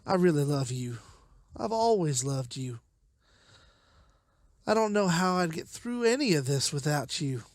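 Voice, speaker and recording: A man's voice: a little high, animated, a little slow, long pauses, no echo, clean studio sound. A man voicing a distraught, weary survivor and father in a motion comic, with strained emotion. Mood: anguished, loving. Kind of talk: comic book voice acting.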